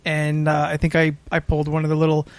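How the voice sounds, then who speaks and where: An American man hosting a podcast, telling a story casually in a halting, sweary way. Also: fairly high pitch, completely flat delivery, clear, resonant tone, dry recording. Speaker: podcast host.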